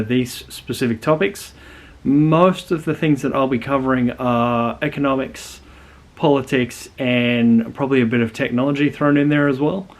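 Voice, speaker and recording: Australian male host, young adult, mid-pitched, chatty and earnest, loose unscripted delivery. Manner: rambling and earnest, many fillers and restarts. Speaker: channel host. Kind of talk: casual talking-to-camera channel intro.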